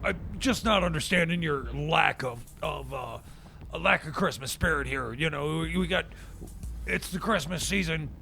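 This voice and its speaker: A man playing a jittery survivalist who fights a war for Christmas, stammering, repeating words and bursting into shouts on a radio comedy show. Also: on the high side, moderate pace, gravelly, slight background noise. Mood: indignant. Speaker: regular character on a radio show.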